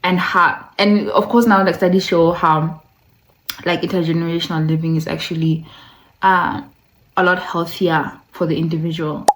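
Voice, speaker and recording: A woman's voice: on the low side, flat delivery, moderate pace, poor audio. A woman hosting a video essay in a conversational way, with playful asides, repeated words for emphasis and some passages read aloud. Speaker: online video host.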